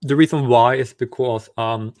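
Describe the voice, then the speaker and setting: A man's voice: medium pitch, moderate pace, a little mellow. A man hosting casual video chats with strangers, asking curious questions and often stumbling and restarting his sentences. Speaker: online video chat host.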